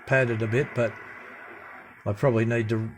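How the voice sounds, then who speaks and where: Man, casual equipment demo, flat intonation, halting, noisy room with CB radio static. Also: medium pitch. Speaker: radio hobbyist demonstrating equipment. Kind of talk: casual hands-on demonstration. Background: CB radio chatter and static.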